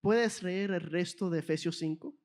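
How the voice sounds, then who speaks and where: A lower-pitched woman delivers a sermon in a language that is not her native one. She speaks in short phrases and stops now and then to hunt for the right word. She gives each phrase time for an interpreter to follow. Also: a little mellow. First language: not Spanish. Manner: pauses and searches for words. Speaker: preacher.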